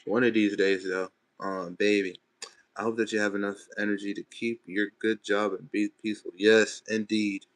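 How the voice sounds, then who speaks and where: A young man with a fairly low voice talks to his viewers in a relaxed, chatty way. He is from Texas and speaks in loose, slangy phrases as he answers comments live from his room at home. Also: moderate pace. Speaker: livestreamer. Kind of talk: casual livestream chat reading comments. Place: bedroom at home.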